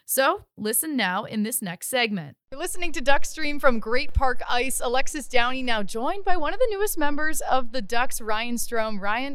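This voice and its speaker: A woman's voice: high voice, a bit bright, no echo, clean studio sound. A woman hosting a hockey show with an upbeat, enthusiastic delivery, giving recaps and asking interview questions. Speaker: sports show host and interviewer.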